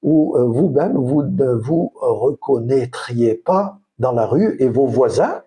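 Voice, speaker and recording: A man's voice: mid-range voice, lively intonation, slow pace, dark tone. A man in his fifties with a Provençal accent, hosting his own vlog in a reflective, rambling way with frequent hesitations. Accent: Provençal French. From southern France. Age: 50s. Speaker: channel host. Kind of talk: casual vlog monologue.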